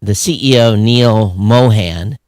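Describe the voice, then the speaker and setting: A man's voice: on the low side, takes it slowly, a little mellow, dry recording. Casual American man co-hosting, close dry sound. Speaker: podcast co-host. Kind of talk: casual unscripted show chat.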